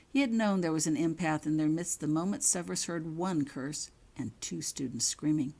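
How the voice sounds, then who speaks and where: A woman's voice: low-pitched, moderate pace, creaky, warm tone. A woman reading her own story aloud as scripted narration. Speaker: author reading own fan fiction.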